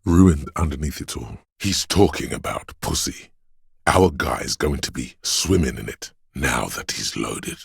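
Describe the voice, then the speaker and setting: A man voicing a sleazy, hedonistic inner voice of addiction in a video game, with a slow, coaxing, streetwise manner and a deep gravelly, husky sound. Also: no room sound, clean studio sound, heavily acted. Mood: sly, musing. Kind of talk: video game character voice acting.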